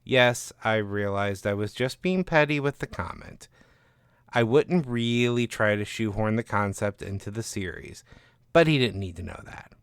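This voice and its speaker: A man's voice: lowish voice, unhurried, clean tone, no echo, clean studio sound. A man reading scripted first-person narration as the author and narrator of a short story.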